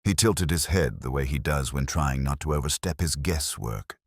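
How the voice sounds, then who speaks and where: A man narrating a scripted detective story in the first person, with a husky voice and a measured, solemn, literary delivery. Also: very deep voice, no echo, studio quality.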